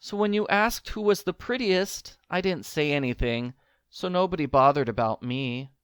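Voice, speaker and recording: A man's voice: a little high, animated, normal speed, clean tone, no echo, studio quality, voice pushed up, close to an everyday voice. A man voicing a small, homely kitten, humble and meek, in a children's storybook.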